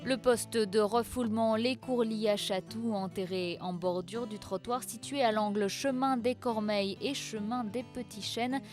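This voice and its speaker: Female narrator, higher voice, measured factual read of an informational script, clear music bed. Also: steady tone.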